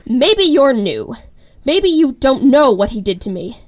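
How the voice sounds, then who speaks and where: An actress playing a defiant, vengeful young vigilante heroine in a radio drama. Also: female voice, sits high, sing-song, unhurried, noisy background. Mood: threatening.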